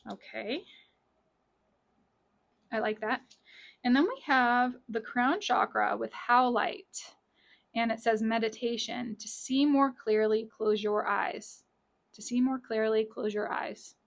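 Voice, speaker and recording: A woman with a slightly high, raspy and scratchy voice talks through a tarot spread from her small office. She chats casually, corrects herself mid-sentence and repeats the lines that matter. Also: slow, pauses often. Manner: chatty, self-correcting, repeats key lines. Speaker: tarot reader. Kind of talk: casual pick-a-card tarot reading.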